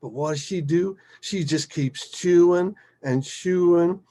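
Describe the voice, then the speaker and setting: A man voicing a rough, animated father who reminisces fondly in an emphatic, colloquial way, within a dramatic story. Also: high-pitched, takes it slowly, pitched above the performer's own voice. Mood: amused, animated. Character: rough, foul-mouthed, animated father reminiscing fondly about his daughter.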